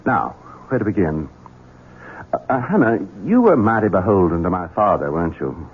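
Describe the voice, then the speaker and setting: An actor playing a young, cruel, scheming heir who speaks in a cold, menacing, insinuating way, in a radio drama. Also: male voice, low voice, big pitch swings, moderate pace, a little hiss or hum. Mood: probing. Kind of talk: 1970s radio drama acting. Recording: tinny lo-fi radio broadcast.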